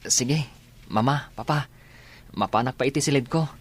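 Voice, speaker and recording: A man's voice: medium pitch, normal speed, lightly acted. Guy acting a dutiful sweet student son, radio drama, mid voice, noisy background. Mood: respectful.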